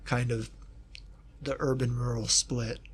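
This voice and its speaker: A man's voice: medium pitch, little pitch movement, a little slow, husky, faint music behind. Thoughtful rambling man from Kentucky. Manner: thoughtful, rambling with asides and restarts. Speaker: guest researcher, historian of East Asia. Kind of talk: casual reflective interview talk.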